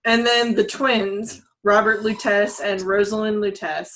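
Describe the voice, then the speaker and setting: A woman's voice: mid-range voice, fairly even intonation, measured pace, fairly bright tone, a little hiss or hum, low-quality recording. A woman talking casually as a panelist on a conversational podcast.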